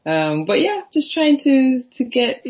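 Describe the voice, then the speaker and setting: A woman's voice: high-pitched, sing-song, a little slow, slightly rough. Chatty woman on a muffled line. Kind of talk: casual conversation.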